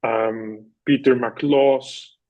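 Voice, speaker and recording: A man's voice: medium pitch, fairly even intonation, extremely slow, darkish tone, no echo. Man giving a rambling update, dull dry sound. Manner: rambling, repetitive restarts. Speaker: report organizer. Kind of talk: casual meeting update. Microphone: video call. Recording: dull, dry video call audio.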